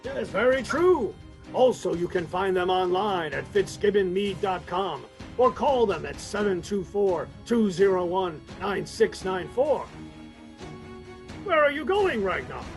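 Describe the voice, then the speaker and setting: A man playing a theatrical Viking warrior in a comedic scripted radio ad, with a gravelly voice. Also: sits high, sing-song, unhurried, faint music behind, full character voice. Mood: mock-solemn, hearty.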